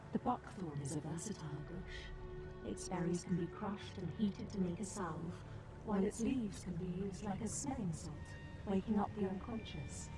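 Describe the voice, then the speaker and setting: An actress playing a secretive, tender guardian who narrates a tragic tale in a measured, solemn way. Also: female voice, lowish voice, natural voice. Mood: resolute. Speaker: story narrator. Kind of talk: scripted dramatic narration.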